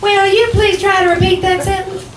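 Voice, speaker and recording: Fond, teasing sitcom wife played by a woman, very high sing-song voice, old-time radio style, roomy stage echo. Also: flat delivery, normal speed. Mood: patient. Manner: sing-song, gently correcting. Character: affectionate, teasing, sensible middle-aged wife. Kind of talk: 1940s radio comedy acting. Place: theater stage before an audience. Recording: echoey live stage, distant mic, noise.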